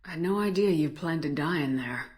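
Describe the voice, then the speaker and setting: Female commander, slightly low voice, direct, steady, determined, plain-spoken video game dialogue. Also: a little fast. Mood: frank, supportive.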